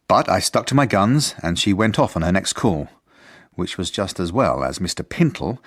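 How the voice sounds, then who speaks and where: Earnest headmaster, husky, formal, mid-low male voice, lively intonation, radio drama narration. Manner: formal, precise, prickly. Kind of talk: first-person narration and radio drama acting.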